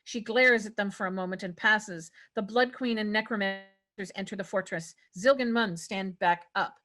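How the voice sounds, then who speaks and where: A woman with a medium-pitched voice reads scene headings and action lines. It is scripted narration for a table read. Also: normal speed, fairly bright tone. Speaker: narrator reading stage directions.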